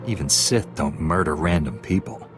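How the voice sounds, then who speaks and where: Jedi knight: very low voice, earnest and composed game hero, music behind. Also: male voice, moderate pace. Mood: resolute. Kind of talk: video game cutscene acting.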